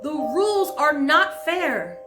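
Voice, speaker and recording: Woman voicing an earnest, insistent young boy, high-pitched. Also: lively intonation, slow pace, music in the background. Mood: pleading, insistent. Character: determined nine-year-old boy standing up politely for fairness. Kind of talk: children's storybook character voice.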